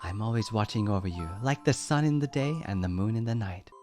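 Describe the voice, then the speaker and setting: A man voicing a gentle, reassuring mother in a dream, speaking softly and low for a storybook. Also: animated, brisk, faint music behind, lightly acted. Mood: tender.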